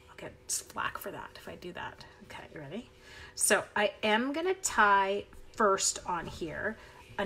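A woman's voice: mid-range voice, fairly bright tone, slight background noise. A middle-aged woman from Canada, chatty and upbeat, leading a live card-making tutorial at her craft desk while she talks with viewers.

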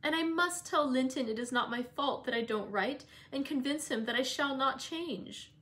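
A woman's voice: sits high, normal speed, fairly bright tone, pitched above the performer's own voice. A woman voicing an earnest, anxious young woman who speaks in a pleading tone.

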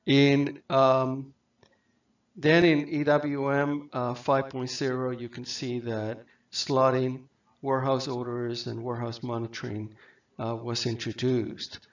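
A man giving a software training lecture over slides, halting often with fillers and restarts. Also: mid-range voice, little pitch movement, distant mic. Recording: dull lo-fi mic, set back.

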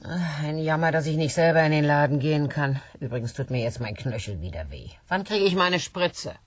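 An actress playing an injured, hard-drinking, needy and taunting woman in a German radio drama, swinging from muttered to shrill. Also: lively intonation, brisk, breathy edge, dry recording. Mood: weary, coaxing.

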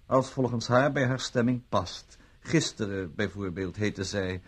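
An actor playing a flippant bon vivant gambler under suspicion, glib and protesting, in a radio drama. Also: male voice, fairly low pitch, unhurried, slightly rough. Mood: whimsical, musing. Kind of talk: vintage Dutch radio drama acting.

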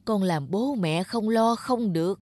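A woman's voice: mid-range voice, measured pace, a little mellow, dry recording, clean studio sound, close to an everyday voice. A woman voicing a weary, worried elderly father in a cartoon, admonishing and hesitant. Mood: anxious reproach. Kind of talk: cartoon dub acting.

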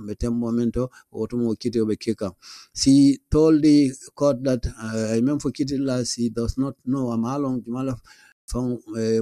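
A man's voice: mid-range voice, dark tone, no echo. An older man from The Gambia presenting the daily news at a studio desk, reading newspaper stories aloud and slipping English phrases into his talk. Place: small studio desk indoors. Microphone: studio mic on a boom arm, close.

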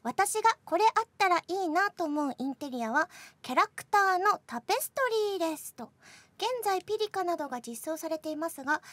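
A woman with a high voice works as a radio show host. She speaks politely and agreeably, keeping the chat moving in a web radio style. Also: dry recording. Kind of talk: chatty web radio hosting.

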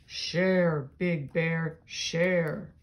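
An old storybook oak tree , acted out by a woman, stern and insistent. It issues its orders slowly and loudly, leaning firmly on each word. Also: deep voice, steady tone. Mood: commanding. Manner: slow, loud, emphatic commands. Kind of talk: children's storybook read-aloud.

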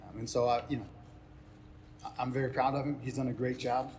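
A man's voice: mid-range voice, steady tone, a little fast, darkish tone. Earnest male coach, slight background noise. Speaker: head baseball coach. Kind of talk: postgame press interview, unscripted answers. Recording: ambient noise behind speech.

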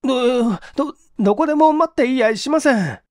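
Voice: high-pitched, expressive, a little fast, a little mellow, no echo, studio quality, voice pushed up. A performer voicing a nervous, cornered male clerk in an audiobook, stammering and flustered as he pleads innocence. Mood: cornered, frightened.

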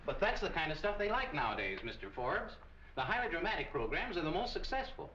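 A man's voice: fairly high pitch, sing-song, fairly quick, pushed and bright. An actor playing an eager, courteous radio programme salesman in a 1940s film, polite and persuasive. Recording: muffled, echoey, hissy 1940 film soundtrack.

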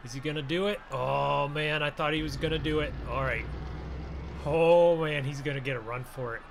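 Excitable American man over noisy game sound. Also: a little high, brisk. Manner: excitable, exclaiming, casual. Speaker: gaming video host playing a roleplay. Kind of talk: excited gameplay roleplay commentary and banter. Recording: clear noise, vehicle ambience behind.

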